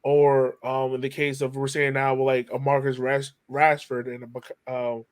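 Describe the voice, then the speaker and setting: A man's voice: medium pitch, completely flat delivery, moderate pace, smooth. A man hosting a podcast in a casual, conversational interview style, asking long questions. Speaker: podcast host.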